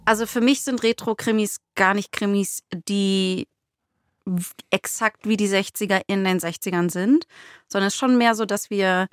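A woman's voice: mid-range voice, steady tone, moderate pace, dry recording, clean studio sound. A woman co-hosting a podcast in casual chat, informally thinking aloud as she goes. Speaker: podcast co-host.

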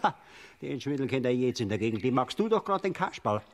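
A sarcastic Bavarian official. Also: male voice, mid-range voice, big pitch swings, brisk. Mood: sneering. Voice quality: mock-polite, sarcastic.

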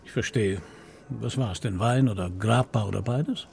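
A man's voice: on the low side, normal speed, gravelly, darkish tone, slight background noise. A middle-aged man acting a shrewd, patient police commissario in a radio drama, probing and dry in manner. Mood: probing, calm.

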